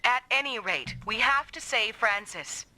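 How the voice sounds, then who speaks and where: Bright, assured woman soldier. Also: on the high side, animated, full character voice. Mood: firm. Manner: brisk, assured. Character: confident, protective female squad mate, adult soldier. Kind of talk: anime-style video game dub acting.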